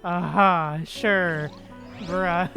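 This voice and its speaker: Slow-paced casual woman streamer. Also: lowish voice, steady tone, vocal fry, a bit bright, faint music behind. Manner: chatty, casual reactions. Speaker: game streamer. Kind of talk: casual gameplay commentary, reading lines aloud.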